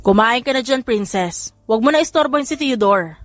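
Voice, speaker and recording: A woman narrator puts on a cocky older brother in an audiobook romance. The voice is high for the part, boastful and quick to needle, over soft music. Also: lively intonation, dry recording, close to an everyday voice. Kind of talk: audiobook character dialogue.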